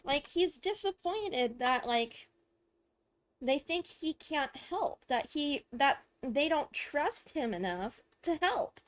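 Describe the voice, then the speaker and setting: A woman gaming streamer giving casual, informal reaction commentary, thinking out loud as she plays. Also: sits high, big pitch swings, slow pace, slight background noise. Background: video game music.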